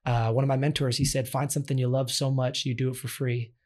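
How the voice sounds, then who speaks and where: A man in his late twenties, a youth sports coach, slightly raspy from yelling, telling his story earnestly in a casual interview. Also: mid-range voice, flat delivery, fast talker. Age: 20s.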